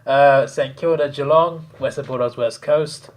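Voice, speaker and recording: A man chatting casually on a live stream, hoarse, rambling and laughing often. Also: mid-range voice, measured pace, a little mellow.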